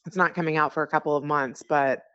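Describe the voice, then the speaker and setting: A woman's voice: low voice, fairly even intonation, quick pace, a bit bright, smooth. Casting: female, American, forties to fifties, informal chatty podcaster on a dull-sounding phone call, no room echo. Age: 40s to 50s. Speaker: podcast guest and fellow podcaster. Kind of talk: casual phone chat. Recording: muffled phone call, dry.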